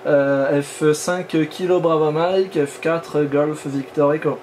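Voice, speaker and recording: A man's voice: on the high side, steady tone, takes it slowly. A man operating amateur radio, talking in a casual, informal way during a contact. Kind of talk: casual ham radio exchange. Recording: clear background noise.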